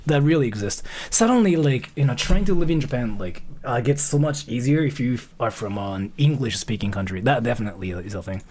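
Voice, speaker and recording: A man's voice: mid-range voice, animated, a little mellow, a little hiss or hum. A man chatting casually on a livestream as he comments, rambling and often repeating himself. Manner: rambling, repeats phrases, halting. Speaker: live streamer reacting to a video.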